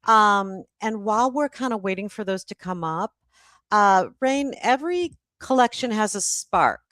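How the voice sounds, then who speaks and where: A woman's voice: mid-range voice, lively intonation, a little slow, fairly bright tone, no echo, studio quality. A woman hosting a live stream in a casual, slightly hesitant way, repeating words as she thinks. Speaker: host of live book event.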